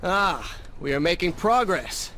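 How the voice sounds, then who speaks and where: Sardonic, animated young warrior prince. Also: male voice, high voice, measured pace, a little hiss or hum, exaggerated voice. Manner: sardonic, brooding. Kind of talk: video game cutscene acting.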